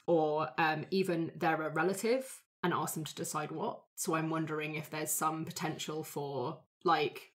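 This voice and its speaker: A woman's voice: deep voice, steady tone, moderate pace, hardly any pauses. A woman talking casually as she works through ideas with fellow tabletop roleplay players. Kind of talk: casual group discussion.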